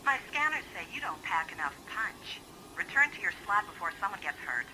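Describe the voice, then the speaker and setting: Stern radio hangar controller, noisy. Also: female voice, mid-range voice, big pitch swings, brisk. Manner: curt, by-the-book. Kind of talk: audio drama acting.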